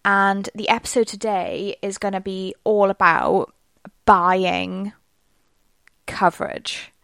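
A woman's voice: mid-range voice, little pitch movement, takes it slowly, gravelly, a bit bright, clean tone, dry recording. Welsh girl rambling on her podcast, lots of "like"s, dry close mic. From Wales. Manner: chatty and rambling, many "like" fillers. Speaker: podcast host. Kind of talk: casual solo podcast monologue.

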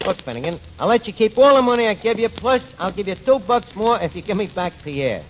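A high-pitched New York wiseguy barkeep. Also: male voice, sing-song, fairly quick. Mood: eager, wheedling. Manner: slangy, wheedling, wisecracking. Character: fast-talking scheming male tavern manager, slangy wiseguy. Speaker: lead comic actor in a radio sitcom. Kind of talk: 1940s radio comedy acting. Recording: dull, noisy 1940s radio recording.

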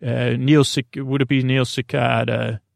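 A man with a noticeably creaky, mid-pitched voice hosts a bedtime podcast. He rambles whimsically, stopping, restarting and repeating himself as he drifts between tangents. The sound is very dry, with hardly any room to it. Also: flat delivery, dry recording. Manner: meandering, halting and repetitive, drifting between tangents. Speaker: host of a bedtime sleep podcast. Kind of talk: rambling, whimsical sleep monologue.

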